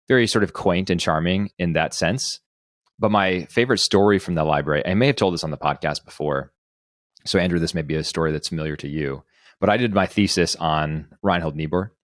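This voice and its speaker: A man's voice: low voice, no echo, clean studio sound. A man hosting a casual podcast, telling personal anecdotes in a discursive way, thinking aloud as he goes. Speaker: podcast host.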